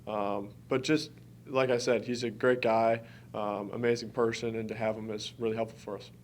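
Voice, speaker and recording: A man's voice: lowish voice, little pitch movement, normal speed, a little hiss or hum. A young man from Wisconsin, a college basketball player, answering press conference questions in an earnest, informal way. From Wisconsin, United States. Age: early twenties.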